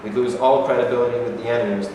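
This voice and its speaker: A man's voice: mid-range voice, fairly even intonation, racing, natural voice. A man acting an earnest, insistent military adviser to a president in a staged play reading. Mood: insistent, cautioning. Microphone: far camera microphone. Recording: echoey hall, distant camera, noisy.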